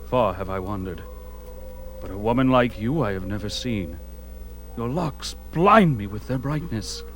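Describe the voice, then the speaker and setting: A man's voice: on the low side, expressive, unhurried, fairly bright tone. A man playing a proud, fierce barbarian warrior in a fantasy audio drama, speaking in a declamatory, archaic heroic manner. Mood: dazed wonder. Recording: music under the voice.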